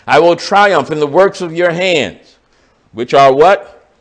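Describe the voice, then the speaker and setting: High-voiced man teaching scripture. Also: slow pace. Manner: explanatory, reads and comments on scripture verse by verse; addresses the congregation directly. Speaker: pastor teaching a Bible study. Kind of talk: sermon-style Bible teaching.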